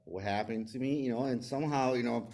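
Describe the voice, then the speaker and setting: A man's voice: mid-range voice, fast talker, gravelly, darkish tone. A man in his forties from El Salvador with a Salvadoran Spanish accent and Spanish as his first language, sharing his recovery story at a meeting, nervous and hesitant, with many fillers and restarts. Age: 40s. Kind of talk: personal recovery testimony.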